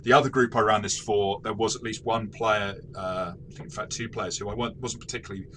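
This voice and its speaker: Bright, lower-pitched man reviewing games. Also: steady tone, quick pace. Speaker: tabletop game reviewer and game master. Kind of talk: casual review discussion. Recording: faint background noise.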